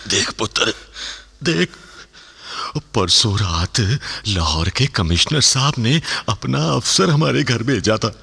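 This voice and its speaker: Gravelly, pleading old Punjabi father. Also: medium pitch, expressive, takes it slowly, sharp tone, long reverb tail, exaggerated voice. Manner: imploring, exclamatory, repeats himself. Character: pleading old Sikh father, anxious and emotional. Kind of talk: Hindi radio play acting.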